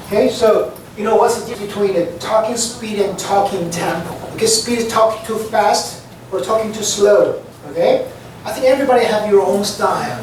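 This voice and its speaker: An aviation instructor with a mother tongue, lecturing a class in loose, repetitive full of "okay" and "you know". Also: mid-range voice. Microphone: distant room mic. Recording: echoey classroom from far off, noisy.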